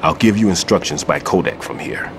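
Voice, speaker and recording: An actor playing a gruff, world-weary veteran demolition expert who speaks in a grave, measured, guarded way in a video game. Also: male voice, deep voice, background noise. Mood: calm, wary. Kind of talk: dramatic game voice acting.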